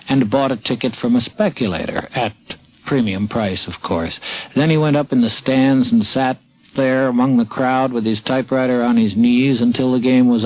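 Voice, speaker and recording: A man with an American accent, a sports columnist reminiscing in a halting manner with repeated phrases. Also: mid-range voice, darkish tone. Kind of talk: anecdotal reminiscence. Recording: muffled vintage radio recording.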